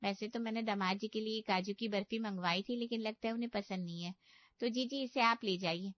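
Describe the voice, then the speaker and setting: A woman's voice: mid-range voice, steady tone, brisk, no room sound, dull audio, natural voice. A woman voicing a fussy, emphatic young female wedding relative in a Hindi comedy cartoon. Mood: polite, fussy.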